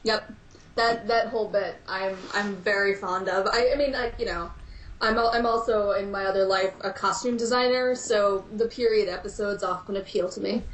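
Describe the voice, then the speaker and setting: A woman's voice: medium pitch, fairly even intonation, moderate pace, slight background noise. A woman chatting as a podcast guest, thoughtful and hedging with fillers. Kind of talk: casual podcast chat. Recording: roomy echo.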